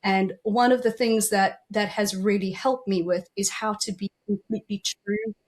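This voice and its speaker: A woman's voice: medium pitch, fairly even intonation, moderate pace. A woman with a South African accent, a business coach, answering interview questions in an earnest, reflective way with frequent ums.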